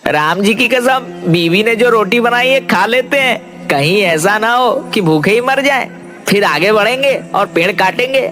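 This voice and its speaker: A man's voice: high-pitched, expressive, measured pace, bright, forceful tone, soft background music, full character voice. A man voicing a poor, fearful village woodcutter in a cartoon, with a folksy, plaintive way of talking. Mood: resigned. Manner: folksy, repeats a pet oath, plaintive.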